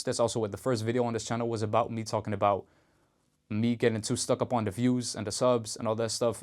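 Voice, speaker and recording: A young Dutch man speaking English with a Dutch accent, rambling in a casual, slangy vlog to camera. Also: mid-range voice. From Netherlands. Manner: rambling and laid-back, fillers, restarts, slangy. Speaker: vlogger.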